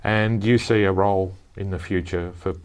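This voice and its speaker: A man's voice: deep voice, fairly even intonation, moderate pace, clean tone. A man hosting a community TV chat, asking questions and cheering the guest on with short replies. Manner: encouraging, frequent backchannel. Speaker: interviewer host.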